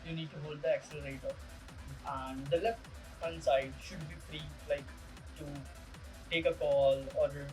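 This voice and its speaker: A young man with an Indian accent giving a casual product demo in a halting, informal way. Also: a little high. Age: young adult. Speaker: product reviewer. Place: small indoor room. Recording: distant mic, music underneath.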